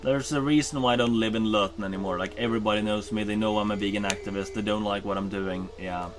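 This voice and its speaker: A man's voice: on the low side, fairly even intonation, quick pace, over music. A Norwegian man chatting casually while streaming a game, rambling with many ums and uhs and correcting himself. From Norway. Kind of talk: casual chat while gaming.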